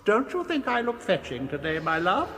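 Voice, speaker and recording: An actor playing a madman as his coy, eerie old mother, in a sing-song falsetto for a gothic radio drama. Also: huge pitch swings, moderate pace, bright, forceful tone, in a large hall, heavily acted. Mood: coy, unhinged.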